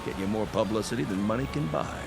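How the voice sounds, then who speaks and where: A man's voice: on the low side, quick pace, gravelly, over loud music. A man playing a folksy, wily local politician in an audio drama, blustering and full of old-fashioned slang. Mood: animated, scheming. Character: folksy, wily local politician haggling over a used car.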